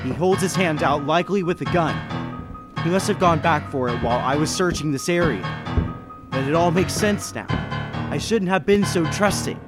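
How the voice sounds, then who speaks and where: A man playing an anxious businessman who narrates a murder mystery in deliberate, dramatic 1930s radio suspense style. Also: on the high side, animated, loud music behind the voice, natural voice. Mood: tense narration.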